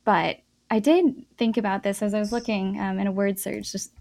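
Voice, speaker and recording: A woman's voice: medium pitch, steady tone, moderate pace, gravelly, a little mellow, dry recording, studio quality. A woman hosting a podcast, asking questions in a conversational interview style. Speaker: podcast host.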